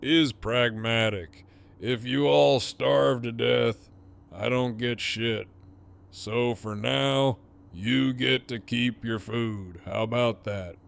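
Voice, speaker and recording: A man playing a menacing gang boss for an audio drama, his voice heavily creaky and gravelly. He takes his time with every taunt, swaggering, cocky and amused by his own threats. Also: medium pitch, steady tone, takes it slowly. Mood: smug menace. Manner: swaggering, mocking, drawling. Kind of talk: full-cast fan audio drama acting.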